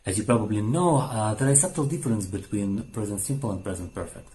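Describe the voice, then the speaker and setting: A man presenting a short English grammar lesson, confident and animated. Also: fairly low pitch, fast. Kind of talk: lively mini grammar lesson.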